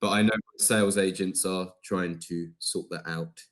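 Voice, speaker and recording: A man, a comedian and filmmaker guest, telling his story in a halting way full of ums. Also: sits low, fairly even intonation. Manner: hesitant, many ums and uhs. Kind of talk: casual interview chat.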